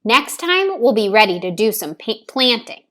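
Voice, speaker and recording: A woman reading a storybook, voicing a kindly, patient older man in a gentle, reassuring manner. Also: fairly high pitch, sing-song, normal speed. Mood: instructive. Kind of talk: storybook read-aloud.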